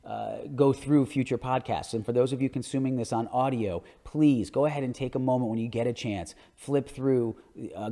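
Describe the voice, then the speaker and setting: A man's voice: lowish voice, mellow. A man giving an educational podcast lecture, explaining images in a conversational way with emphatic asides. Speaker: orthodontist hosting an educational podcast. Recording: long hall-like reverb.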